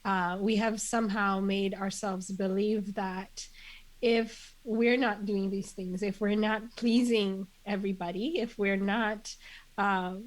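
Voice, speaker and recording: A slow-speaking woman guest sounds congested. She talks earnestly and in measured phrases, often pausing on fillers and restarting as she builds her point. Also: mid-range voice, fairly even intonation. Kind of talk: reflective conversational interview.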